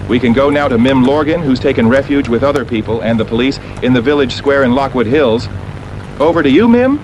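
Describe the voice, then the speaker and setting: An actor playing a concerned, folksy local radio host who calmly guides listeners through an emergency in a horror drama. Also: male voice, medium pitch, brisk, natural voice. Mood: urgent. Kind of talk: informal emergency radio broadcast. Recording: clear background noise.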